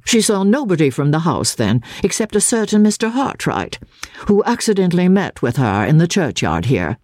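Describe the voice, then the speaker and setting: A woman voicing a courteous, insistent gentleman baronet in formal, persuasive speech for a dramatic audiobook. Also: fairly low pitch, very animated, moderate pace, dry recording, pitched above the performer's own voice. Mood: probing, polite. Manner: formal, elaborately polite, persuasive.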